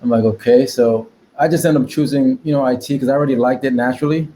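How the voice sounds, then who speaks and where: Male American podcast guest, mellow dark tone, mid pitch, casual storytelling, slight background noise. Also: fairly even intonation, quick pace. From Connecticut, United States. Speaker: podcast guest, cybersecurity professional.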